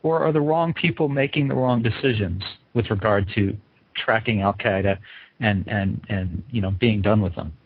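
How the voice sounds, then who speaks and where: Phone-ish dry sound, raspy american guy interviewing, rambly casual questions, thinking out loud. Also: on the low side, moderate pace, dry recording, phone-quality sound. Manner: informal, rambling, thinks aloud. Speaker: interviewer, show host.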